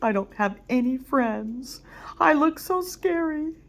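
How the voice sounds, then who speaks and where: A woman voicing a big, lonely, gentle male giant in a children's storybook reading, booming out his lines. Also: lively intonation, slow, full character voice. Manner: booming, loud cries.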